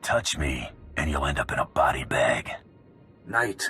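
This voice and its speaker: Very deep, gruff cocky bounty hunter. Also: male voice, sing-song, a little slow, bright, forceful tone, full character voice. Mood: defiant. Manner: dry, sardonic, unflappable. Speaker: player character in a video game. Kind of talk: video game cutscene acting. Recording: music behind the voice.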